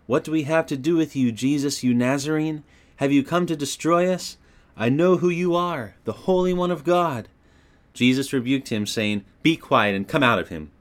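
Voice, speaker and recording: Male narrator, medium pitch, scripture audiobook, expressive storytelling, scripted reading.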